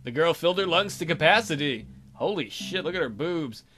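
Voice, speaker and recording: Bright-voiced man reading a game aloud. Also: a little high, expressive, brisk. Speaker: let's play host. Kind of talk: dramatic reading of game text with casual commentary.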